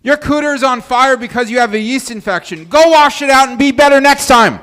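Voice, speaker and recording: Man playing a high-voiced, bossy teammate. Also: fairly quick, a bit bright, clear, resonant tone. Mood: scolding. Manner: blunt, scolding. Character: bossy, scornful, competitive teammate. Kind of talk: live comedy table read.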